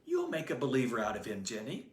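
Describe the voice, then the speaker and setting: A man's voice: mid-range voice, wildly swooping pitch, quick pace, distant mic, a little hiss or hum. A man voicing a shy, bashful teenage boy who stammers and hesitates, read aloud in an echoey room. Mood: encouraging.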